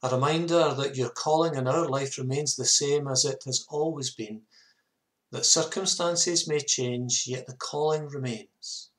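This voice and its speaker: A man in his fifties, a minister giving a measured, earnest reflective sermon to camera in a small study. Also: mid-range voice, fairly bright tone. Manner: measured, earnest, with short repeated phrases. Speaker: parish minister. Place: small home study, indoors.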